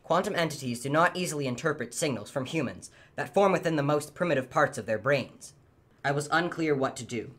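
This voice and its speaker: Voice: mid-range voice, a bit bright, close to an everyday voice. A performer voicing a literal-minded, unemotional artificial entity in a radio play, speaking formally and precisely. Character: literal-minded artificial quantum entity assistant named Peter.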